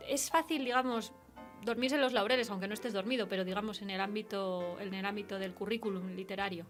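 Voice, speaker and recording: A woman's voice: mid-range voice, fairly even intonation, quick pace. Spanish woman interviewer, conversational, faint music. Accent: Spain. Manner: conversational, thinks aloud, checks with tag questions. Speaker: radio interviewer. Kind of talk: casual literary interview chat.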